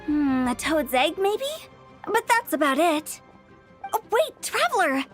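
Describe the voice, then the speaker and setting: A high-voiced, curious young girl. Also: very animated, a little slow, soft background music. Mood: curious, musing. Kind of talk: video game cutscene acting.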